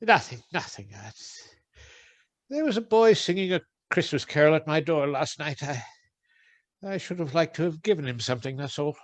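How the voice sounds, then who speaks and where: A woman acting an excitable old miser reliving his boyhood in a radio play, stammering and exclaiming in theatrical style. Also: low voice, normal speed, no echo. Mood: wistful, regretful.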